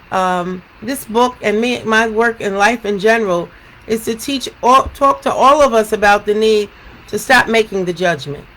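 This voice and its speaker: A woman educator speaking in a deliberate, emphatic way, as in a read-aloud storytime and reflective talk. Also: on the high side, a bit bright, a little hiss or hum, rough recording. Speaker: educator reading a picture book aloud.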